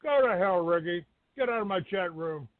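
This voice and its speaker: A man's voice: sits high, lively intonation, moderate pace, no echo. A man hosting a live call-in show in an abrasive, combative, foul-mouthed way. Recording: tinny phone-grade dry audio.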